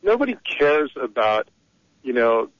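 A man's voice: medium pitch, sing-song, measured pace, no echo. A man calling in to a radio talk show, talking in a heated, rambling, opinionated way over the phone. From Egypt. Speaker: caller. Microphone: telephone. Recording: dull lo-fi phone line.

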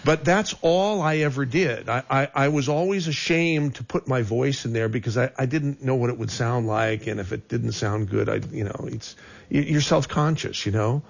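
A man's voice: medium pitch, normal speed, vocal fry, no echo. A man hosting a radio show who chats casually, rambles and interrupts himself, with a somewhat scratchy voice. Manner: rambling, self-interrupting, chatty. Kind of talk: casual on-air banter. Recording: dull lo-fi radio broadcast, faint music.

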